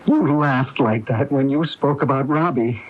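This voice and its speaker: An actor as an anxious, slow-spoken cornered man. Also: mid-range voice, close to an everyday voice. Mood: desperate, defiant. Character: anxious, guilt-ridden man cornered by gangsters, protective brother. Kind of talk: radio mystery drama acting. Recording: dull, noisy AM radio recording.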